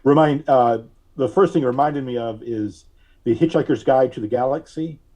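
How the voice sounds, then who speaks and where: A man is being interviewed on a podcast in a relaxed, casual way. His voice is mid-pitched and notably mellow. He speaks haltingly, working out his thoughts as he talks. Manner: halting, thinking aloud. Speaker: podcast guest; audio drama writer.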